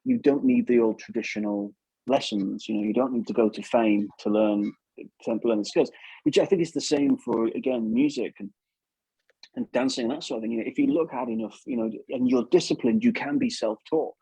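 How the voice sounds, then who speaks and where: A man's voice: mid-range voice, animated, brisk, gravelly, mellow, breathy edge, no room sound, low-quality recording. A man giving casual advice as an interview guest, chatty and repeating himself for emphasis. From England.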